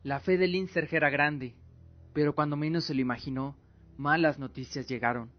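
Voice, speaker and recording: A man's voice: on the high side, steady tone, moderate pace, muffled recording. A man reading scripted dramatic narration as the storyteller of an audio drama.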